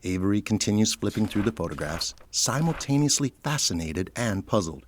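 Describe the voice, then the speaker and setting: A man's voice: fairly low pitch, big pitch swings, brisk, slight background noise. A man delivering scripted narration for an audio drama, setting each scene.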